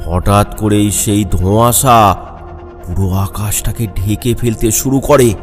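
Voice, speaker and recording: A man with a fairly low voice narrates horror in a dramatic storytelling style. His pace is slow and deliberate, and music plays clearly underneath. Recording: music behind the voice.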